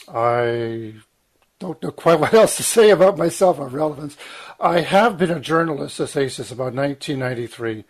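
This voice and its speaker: A man in his sixties, croaky from medication, giving an earnest, emphatic talk with frequent ums, restarts and throat-clearing. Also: medium pitch. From Lower Mainland, Canada. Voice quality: husky, clears throat often. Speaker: guest speaker, former journalist. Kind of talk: long spoken commentary, guest talk.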